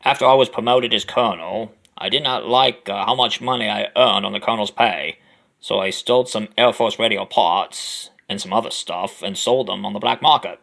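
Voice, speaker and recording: A crooked old-guard army colonel acted by a man speaks in a low, gravelly voice. The delivery is hard-edged and forceful, as in a radio mystery play. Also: normal speed. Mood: defensive, confessing. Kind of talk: amateur audio drama acting.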